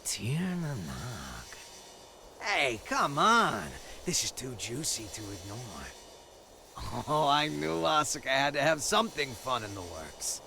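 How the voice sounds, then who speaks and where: Slow, creaky theatrical villain. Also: male voice, mid-range voice, big pitch swings, background noise. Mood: gleeful, scheming. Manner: theatrical, savoring, drawn-out delivery. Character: gleeful scheming man savoring intrigue, theatrical villain. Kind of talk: video game cutscene acting.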